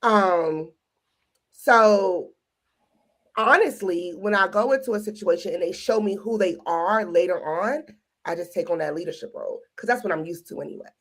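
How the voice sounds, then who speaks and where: A woman's voice: medium pitch, moderate pace. A woman co-hosting a podcast, talking candidly in a casual chat and acting out quoted remarks. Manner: candid, storytelling with quoted asides. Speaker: podcast co-host.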